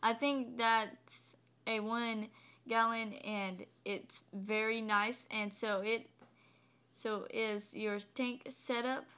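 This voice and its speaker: Woman vlogger, deep voice, slow and hesitant, many ums; reads comments in casual chat. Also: fairly even intonation, bright, forceful tone, clean tone. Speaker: vlogger reading viewer comments. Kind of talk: casual home video chat.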